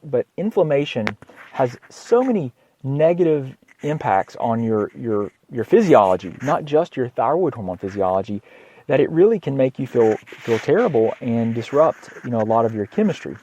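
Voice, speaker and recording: Male doctor podcaster, mid-pitched and a little hoarse, halting informal explainer delivery, slightly lo-fi recording. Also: very animated, mellow, slight background noise. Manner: halting, repeats words, frequent throat clearing. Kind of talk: informal educational health monologue.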